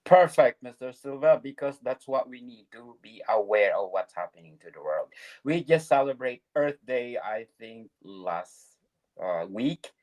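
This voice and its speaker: A man's voice: mid-range voice, animated, unhurried, darkish tone, poor audio. A man from Canada hosting a podcast interview, eager and loose with his grammar. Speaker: podcast host.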